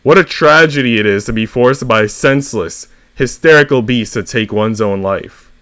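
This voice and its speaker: A man's voice: medium pitch, moderate pace, a bit bright, lightly acted. A man voicing an indignant, melodramatic honeybee, a devoted father, in a dramatic, aggrieved story reading.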